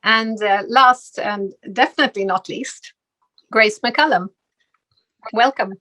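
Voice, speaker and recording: A woman's voice: fairly high pitch, takes it slowly, sharp tone. A woman moderating a live panel discussion, speaking with frequent hesitations and fillers. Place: event stage.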